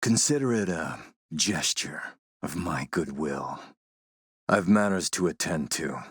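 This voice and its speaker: A man playing a suave video game warlord, coolly polite and mocking, with a measured, sardonic menace. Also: rumbling low, expressive, a bit bright, husky, no echo, studio quality, heavily acted. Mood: quiet threat. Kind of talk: video game villain acting.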